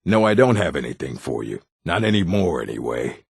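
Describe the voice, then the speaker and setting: Gravelly, deep, gruff orc. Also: male voice, big pitch swings, a little fast, no echo, studio quality, full character voice. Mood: dry, dismissive. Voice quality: gravelly, rough. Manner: blunt, curt, stern. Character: gruff adult male orc, blunt proud fantasy warrior folk. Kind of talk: video game character voice lines.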